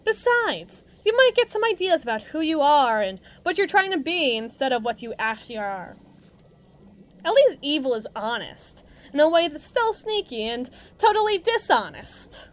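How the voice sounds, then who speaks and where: Woman acting a brash, high-pitched villain henchwoman. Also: sing-song, normal speed. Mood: cynical. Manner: sarcastic, theatrical, mocking, slangy tough talk. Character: brash, mean female villain henchwoman, muscle for evil agency. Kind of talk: acted monologue roleplay addressed to listener.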